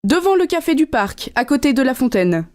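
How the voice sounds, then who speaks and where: A woman acting out scripted dialogues for learners, speaking in a clear, friendly way. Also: high-pitched, very animated, moderate pace, darkish tone, no echo, clean studio sound.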